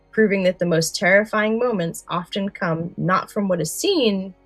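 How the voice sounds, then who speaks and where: Low-pitched woman co-hosting a podcast. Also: no echo. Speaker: podcast co-host. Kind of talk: podcast chat with prepared summaries.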